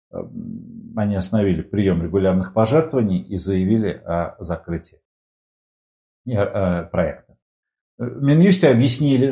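A man's voice: mid-range voice, warm tone. Man with a Moscow accent, news commentary. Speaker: talk show co-host. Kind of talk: news commentary with banter and chat reading. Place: studio.

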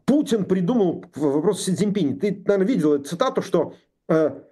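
Halting, indignant man in his sixties. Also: sits high, animated, warm tone, husky. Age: 60s. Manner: halting speech with many pauses and fillers; indignant, sarcastic. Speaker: political commentator. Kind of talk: political discussion.

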